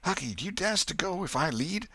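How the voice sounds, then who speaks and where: A man voicing an excitable, superstitious, self-pitying schoolboy in a rural Southern American dialect for an audiobook. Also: high-pitched, fairly quick, no echo, voice pushed up, heavily acted. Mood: eager, superstitious. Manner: folksy dialect, exclaiming and whispering. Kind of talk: audiobook character acting.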